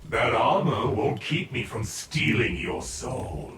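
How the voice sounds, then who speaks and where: A man's voice: medium pitch, animated, slow, a bit bright, roomy sound, recorded from a distance, slight background noise. A man playing an evil undead sorcerer in a video game, sly, theatrical and mocking. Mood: gloating menace. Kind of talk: video game fight dialogue.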